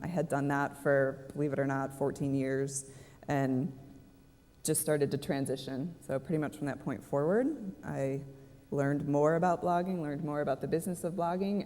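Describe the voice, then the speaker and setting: Low-voiced woman, chatty panelist, echoey conference hall. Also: dark tone. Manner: chatty, self-deprecating, laughs often. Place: conference stage.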